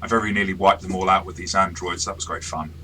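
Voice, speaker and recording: A man with a very low voice talks casually as game master, reviewing an adventure he ran. His tone is bright and forceful, and he talks in a chatty, self-deprecating way, restarting his sentences often. A faint hiss sits behind him. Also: fairly even intonation, fast.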